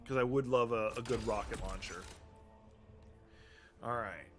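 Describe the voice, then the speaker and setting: Hoarse sounding male game streamer. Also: mid-range voice, unhurried, soft background music. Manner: rambling, many ums and restarts, talking through menus. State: losing his voice. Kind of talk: casual live-stream commentary.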